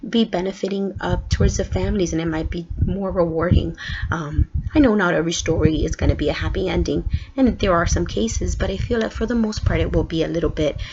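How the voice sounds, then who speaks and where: A woman's voice: on the low side, fairly quick. A young woman from Guatemala introducing herself as a student in a casual video, earnest and full of ums and restarts. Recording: dull lo-fi recording with background noise.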